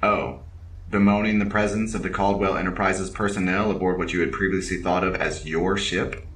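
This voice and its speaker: A man playing a formal, literal-minded spaceship companion in an audio drama, speaking in a wordy, deadpan way. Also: low voice, moderate pace, echoey room.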